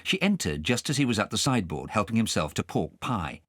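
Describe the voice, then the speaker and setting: A man reading a children's story aloud as an expressive audiobook narrator. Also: on the low side, fairly quick, slightly rough, dry recording, studio quality.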